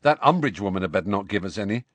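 A put-upon teenage schoolboy voiced by a man for an audiobook. He is plain-spoken and grumbling, with lively swings in pitch, recorded close and dry. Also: normal speed, no echo, exaggerated voice.

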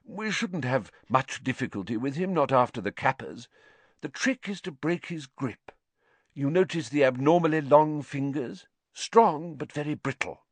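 A man voicing a calm, kindly male professor with a mild, thoughtful manner in an audiobook. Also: medium pitch, normal speed, hardly any pauses, dry recording, voice pushed up.

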